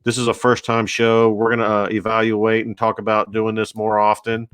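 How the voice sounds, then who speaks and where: A man hosting a casual interview, talking haltingly with lots of fillers and restarts. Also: lowish voice, completely flat delivery, fairly bright tone, clear, resonant tone, dry recording. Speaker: show host.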